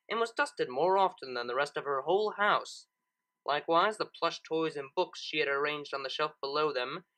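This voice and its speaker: A man narrates a story with a bright, fairly high voice. His reading is expressive, and his pitch moves up and down in a very animated way. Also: low-quality recording. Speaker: narrator reading fan fiction, channel host.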